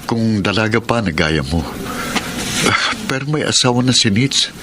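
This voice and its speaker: An actor playing a hurt, suspicious husband in a Filipino radio drama, earnest and aggrieved. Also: male voice, on the low side, expressive, moderate pace, noisy background, close to an everyday voice. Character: hurt, suspicious husband confiding his marital troubles.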